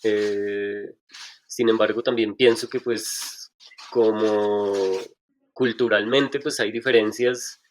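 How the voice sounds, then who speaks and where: A man's voice: fairly low pitch, fairly even intonation, takes it slowly, slight background noise. A middle-aged man, a stage performer, answering interview questions thoughtfully with frequent hesitations and restarts.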